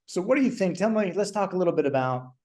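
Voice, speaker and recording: A man's voice: high voice, lively intonation, fast, a little mellow. A middle-aged man hosting a podcast, chatty and self-deprecating, with many fillers. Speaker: podcast host. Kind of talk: casual interview talk.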